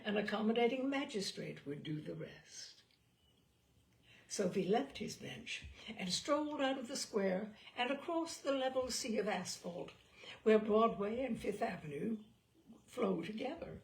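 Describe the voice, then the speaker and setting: A woman reading a literary short story aloud in an expressive, measured and deliberate way, with theatrical phrasing. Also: medium pitch, rough recording.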